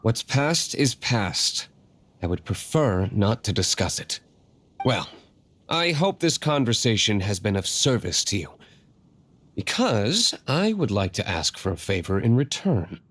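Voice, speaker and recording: A man's voice: lowish voice, very animated, unhurried, fairly bright tone, full character voice. An actor playing a brooding, aloof young nobleman and secret vigilante in a video game, formal and curt. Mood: cool, disdainful.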